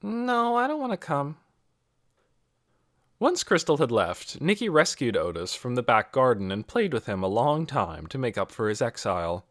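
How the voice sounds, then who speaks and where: A man's voice: mid-range voice, sing-song, moderate pace, few pauses, a bit bright, no echo, clean studio sound. A man reading a scripted novel aloud as an audiobook narrator. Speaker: audiobook reader.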